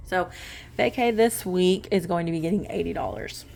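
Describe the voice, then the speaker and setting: A woman's voice: lowish voice, moderate pace, creaky, a little mellow. A woman in her late twenties chatting casually while sorting cash into budget envelopes, rambling and correcting herself with small laughs. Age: late 20s. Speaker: budgeting vlogger.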